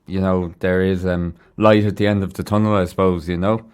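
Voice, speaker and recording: Smooth-voiced Irish man hosting radio. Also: sits low, little pitch movement, brisk, darkish tone. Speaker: radio host. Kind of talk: casual live radio interview. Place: radio studio.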